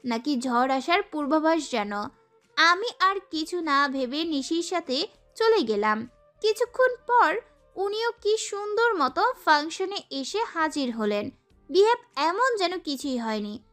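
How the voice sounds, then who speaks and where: A young woman playing a sassy, feisty girl who tells her own love story in an expressive, girlish way. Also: high voice, a little slow, soft background music, full character voice. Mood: dramatic, musing. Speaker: narrator and lead actress. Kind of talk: dramatised first-person romance story narration.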